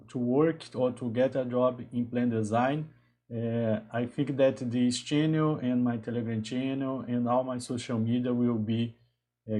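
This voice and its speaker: A man in his thirties from Brazil with a Brazilian Portuguese accent, talking casually on a live stream in a halting way with frequent restarts. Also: mid-range voice, dark tone. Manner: halting, restarts often, greets viewers. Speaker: engineer hosting a live stream.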